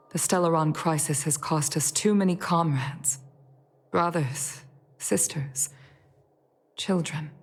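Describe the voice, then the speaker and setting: A woman playing a dignified, grieving middle-aged leader in a video game, speaking in a formal, measured and solemn way. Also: sits low, a little mellow. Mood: sorrowful. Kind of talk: scripted video game voice acting. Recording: game music under the voice. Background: game music.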